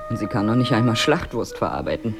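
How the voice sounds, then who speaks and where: A jilted wife in a radio play, with a very deep female voice that moves expressively. She is sardonic and bitter, needling with dry questions, and music plays clearly behind her. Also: lively intonation, fast talker. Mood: bitter, sarcastic. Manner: dry, pointed, sarcastic questioning. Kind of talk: German radio drama acting.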